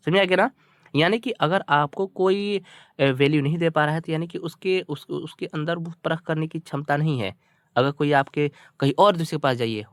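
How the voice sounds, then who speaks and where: An Indian man with a somewhat high-pitched voice works as a language teacher, going through a story in two languages. He explains phrase by phrase, repeats himself and keeps checking with short questions. The sound is dry, with very little room echo. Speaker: English teacher. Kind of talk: bilingual storytelling lesson, translating line by line.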